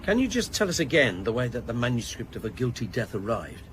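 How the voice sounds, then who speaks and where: A cop in a radio play, blunt, sceptical, short pointed questions, faint road noise. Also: male voice, mid-range voice, big pitch swings, fast talker, a bit bright. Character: male police detective, blunt, sceptical questioner. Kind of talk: naturalistic radio drama dialogue. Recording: faint noise, vehicle ambience.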